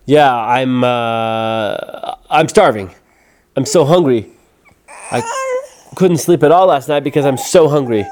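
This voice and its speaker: A man's voice: mid-range voice, unhurried, long pauses, dark tone, lightly acted. A man voicing a small, frightened, anxious character in a children's story, stammering and repeating himself. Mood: pitiful.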